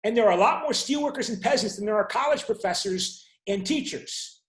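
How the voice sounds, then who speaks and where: A man's voice: high-pitched, expressive, fairly quick, echoey room. Man lecturing a class over video call. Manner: explanatory, checks in with students often. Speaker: university lecturer.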